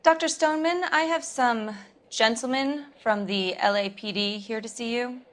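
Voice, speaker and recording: An actress playing a polite, prim doctor's receptionist in a video game drama. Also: female voice, a little high, normal speed, a bit bright, lightly acted.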